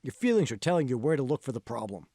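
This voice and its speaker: An earnest mentor played by a man lectures with emphatic, instructive force. His pitch moves in lively swings, in a close, dry audiobook recording. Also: fast talker, no room sound. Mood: earnest, encouraging.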